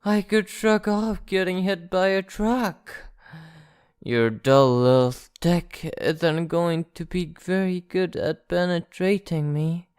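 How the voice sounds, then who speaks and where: A woman playing an arrogant, possessive vampire captor in an ASMR roleplay, haughty, teasing and softly threatening. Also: on the low side, clear, resonant tone, no echo, clean studio sound. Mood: mocking, amused.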